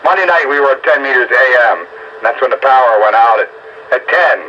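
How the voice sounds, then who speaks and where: A man's voice: mid-range voice, expressive, brisk, sharp tone. A man in casual, folksy, rambling chat over a two-way radio as he runs a round-up. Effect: two-way radio transmission. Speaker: radio operator running a round-up. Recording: dull, noisy two-way radio audio.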